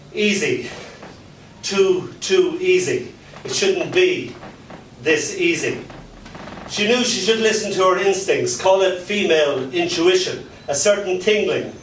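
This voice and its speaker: A man performing dark comic poetry and stories live, with a theatrical delivery and dramatic pauses. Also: high voice. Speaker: spoken word poet. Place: spoken word venue in a London pub. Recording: echoey live venue, distant mic, background noise.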